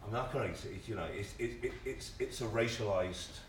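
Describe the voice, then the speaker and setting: A man on a discussion panel speaking informally and emphatically, repeating words as he argues his opinion. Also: on the low side. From family from Singapore. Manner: emphatic, halting repetitions, exasperated opinion. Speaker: panellist, actor. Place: panel discussion in a theatre venue, microphone at a distance. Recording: distant roomy live-stream audio, faint noise.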